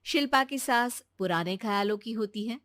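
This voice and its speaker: A woman's voice: a little high, normal speed, fairly bright tone, no room sound, clean studio sound. A woman narrating a scripted moral story as its storyteller.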